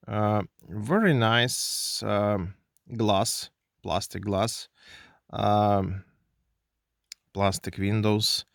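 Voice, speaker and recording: Slow Russian-accented man reviewing toys. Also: lowish voice, fairly bright tone, clear, resonant tone, no room sound, clean studio sound. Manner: halting non-native English with many fillers. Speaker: toy car reviewer. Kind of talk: hands-on unboxing review. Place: indoors at a desk.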